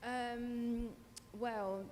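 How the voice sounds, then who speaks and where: A woman's voice: on the high side, fairly even intonation, very slow, a little mellow. A woman panelist of Tanzanian origin who grew up in the Netherlands, speaking with hesitant fillers but growing passionate. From Tanzania, raised in the Netherlands. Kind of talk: panel discussion. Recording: long hall reverb, faint noise.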